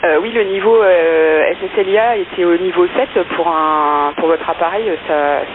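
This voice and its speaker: A man's voice: high voice, normal speed. A man, an airport operator, in an informal exchange over aviation radio. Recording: hissy narrowband aviation radio, heavy static.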